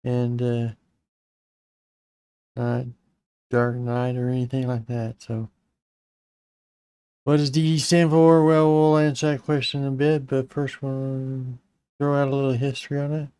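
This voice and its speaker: Slightly raspy man hosting a live stream. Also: medium pitch, level tone, unhurried, pauses often, mellow, dry recording. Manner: rambling, with fillers and restarts. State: slightly raspy, clearing his throat. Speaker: host of a live-streamed Linux tutorial. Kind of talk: casual live-stream tech walkthrough.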